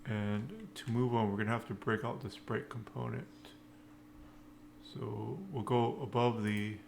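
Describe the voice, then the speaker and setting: A man teaches programming at a very unhurried pace, working it out aloud in halting fragments. His mid-pitched voice has plenty of vocal fry, and the recording is noisy, with typing audible behind him. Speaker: programming tutorial instructor. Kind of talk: unscripted coding walkthrough, thinking aloud. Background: computer keyboard typing.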